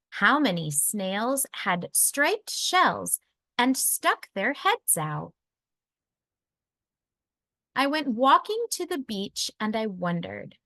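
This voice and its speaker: Bright, lively woman reading to kids. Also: medium pitch, no echo. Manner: deliberate, expressive storytelling for young children. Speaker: children's book reader. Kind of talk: read-aloud of a children's picture book.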